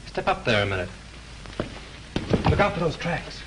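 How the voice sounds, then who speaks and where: An actor playing a sharp young man playing detective at a crime scene, brisk and instructive in 1930s film style. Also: on the high side, big pitch swings. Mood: curious. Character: sharp young male screenwriter sleuth. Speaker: amateur sleuth in a 1930s film. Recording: hissy old 1930s film soundtrack.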